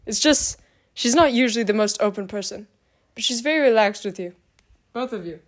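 A woman's voice: medium pitch, lively intonation, fairly quick, close to an everyday voice. Male soldier hero, earnest, wry and plain-spoken, for a storybook female reader acting. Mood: encouraging.

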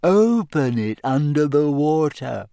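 Man doing a moping schoolgirl ghost, glum and sly, slow drawn-out lines, slightly raised voice, smooth clear tone. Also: a little high, sing-song, warm tone, dry recording, studio quality, heavily acted. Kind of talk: audiobook character voice.

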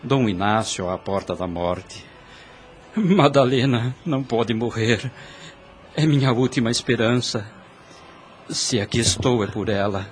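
A man's voice: mid-range voice, a little slow, pauses often, a little hiss or hum, natural voice. An actor playing a devoted, brooding younger man in a period radio drama, with a theatrical, formal, intense delivery. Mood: bitter, anguished. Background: background music.

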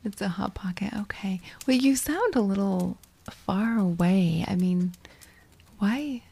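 A woman co-hosting an improvised comedy podcast, often speaking in a soft, whispery and polite way. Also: mid-range voice, lively intonation, a little slow, vocal fry, husky. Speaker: podcast co-host.

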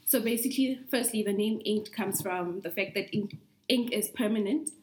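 A woman's voice: medium pitch, moderate pace, slight background noise. A young woman from Congo, a podcast guest, chatting casually and laughing often. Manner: giggly, easygoing, laughs often. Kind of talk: casual chat.

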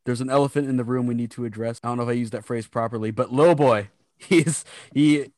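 A man's voice: mid-range voice, steady tone, fairly quick, darkish tone, no room sound, clean studio sound. A man co-hosting a casual chat about a rap show, laid-back and laughing often. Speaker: podcast co-host.

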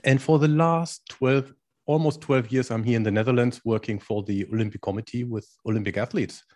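German-accented male coach in his forties, calm explainer. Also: mid-range voice, a little fast. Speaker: guest, strength and conditioning coach. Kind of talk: podcast interview answers in English.

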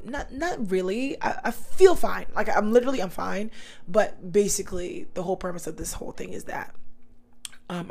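A woman hosting a casual solo podcast, chatting straight to her listeners in a slangy, easygoing way, her voice still a little raspy and hoarse. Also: lowish voice, darkish tone. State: slightly hoarse, recovering voice. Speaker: podcast host.

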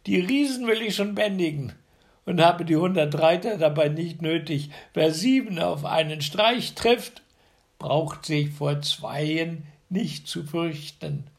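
A man's voice: a little high, slow pace, gravelly, pitched above the performer's own voice. A man voicing a small, boastful young tailor who brags brashly in a fairy tale reading.

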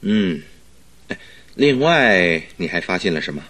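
An actor playing a detective's good-natured, curious companion in a radio drama, with a husky voice and a hesitant, questioning manner. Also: male voice, deep voice, big pitch swings, unhurried, fairly bright tone, full character voice. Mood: curious, puzzled. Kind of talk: Chinese radio drama acting.

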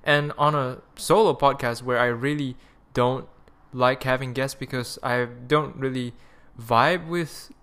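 A man's voice: medium pitch, steady tone, measured pace, few pauses, a bit bright. A young man with a Malaysian accent hosting a podcast in a rambling, crude, self-mocking casual chat. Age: young adult. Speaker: podcast host.

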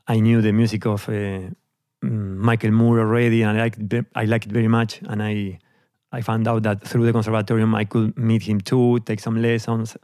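Hesitant Argentine man, flat low voice. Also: no echo, clean studio sound. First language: Spanish. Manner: hesitant, many pauses and fillers. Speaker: guest musician. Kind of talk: interview, hesitant storytelling.

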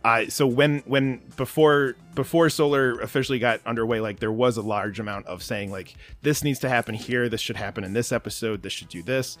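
A man's voice: medium pitch, fairly even intonation, fairly quick, few pauses, fairly bright tone, faint music behind. A man speaking as a podcast guest about his writing, casual, reflective and self-deprecating. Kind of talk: casual reflective interview talk.

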